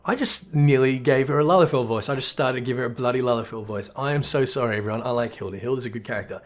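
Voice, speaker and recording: A man comments casually on a video game as he plays. His asides are informal and sweary, in a mid-pitched voice with music playing behind him. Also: fast. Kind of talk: casual gameplay commentary and narration reading.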